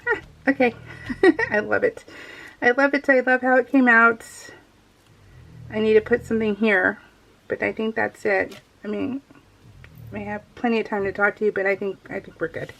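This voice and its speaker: A woman's voice: mid-range voice, fairly even intonation, moderate pace, creaky, long pauses, a bit bright, low-quality recording. A middle-aged American woman chatting casually and informally as she narrates her craft project, in a rambling, self-deprecating way. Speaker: crafting vlogger. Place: indoors at a craft table, voiced live while working.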